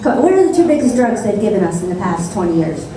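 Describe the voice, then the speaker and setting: A woman doing a stand-up comedy set on a club stage, with deadpan, sarcastic asides. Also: mid-range voice, fast, a little hiss or hum. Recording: echoey club sound from a distant mic.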